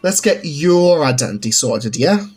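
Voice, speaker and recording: Man voicing a teasing, husky young woman. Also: on the high side, animated, unhurried, a little mellow. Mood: wry, joking. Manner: mocking, casual, slangy. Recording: music under the voice.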